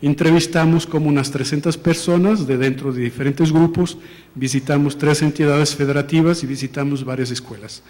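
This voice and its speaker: A man's voice: on the high side, fairly even intonation, a little fast, darkish tone. A man from Portugal with a Portuguese accent, giving a formal report presentation with careful, hesitant phrasing and frequent self-corrections. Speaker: presenter of an education policy report. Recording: long reverb of a large hall.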